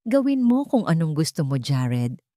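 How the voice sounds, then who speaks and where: A woman's voice: low-pitched, very animated, moderate pace, mellow, no echo, clean studio sound. A woman voicing a wronged wife who feigns meek, defeated submission in an audio story. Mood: lifeless, submissive.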